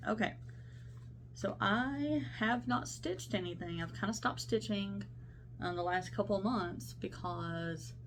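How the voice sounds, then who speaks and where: A woman's voice: lowish voice, fairly bright tone, slight background noise. A young woman talking casually about her cross-stitch projects, rambling and self-interrupting, her voice congested from a sinus infection. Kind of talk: casual show-and-tell vlog.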